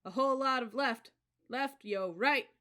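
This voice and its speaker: A reader putting on a loud sing-song cadet drill leader. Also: mid-range voice, a little slow, voice pushed up. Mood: sing-song chanting. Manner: chanting running cadences and barking orders. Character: young male cadet drill leader, loud, commanding, cocky.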